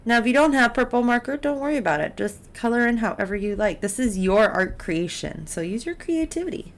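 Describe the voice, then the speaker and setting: A woman teaching art in a casual step-by-step drawing tutorial, encouraging and unhurried as she talks through each step while she works. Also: a little high, expressive. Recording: clear background noise, drawing sounds.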